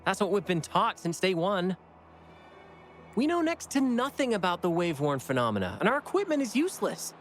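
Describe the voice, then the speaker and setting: A man's voice: high-pitched, huge pitch swings, fairly quick, fairly bright tone, faint music behind, exaggerated voice. A man voicing a frustrated, idealistic young student in a video game. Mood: disappointed, indignant.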